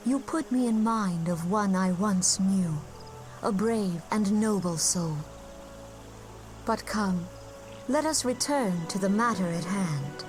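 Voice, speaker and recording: A woman's voice: medium pitch, animated, music in the background. An actress playing a serene, gracious female seer and leader in a fantasy game, speaking with formal, courtly diction. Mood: gently wistful. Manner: formal, measured, courtly archaic speech. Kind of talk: fantasy video game voice acting.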